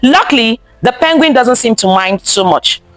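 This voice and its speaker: A woman's voice: fairly high pitch, expressive, normal speed, fairly bright tone, no room sound, soft background music. A woman reading a picture book aloud to children, expressively, repeating phrases and stopping to ask them questions. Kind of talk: interactive children's story time read-aloud.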